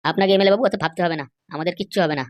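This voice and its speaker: A woman's voice: lowish voice, very fast, no echo, lightly acted. A woman voicing a blunt, sensible older-brother type of man, firm and dismissive, in a comedy cartoon.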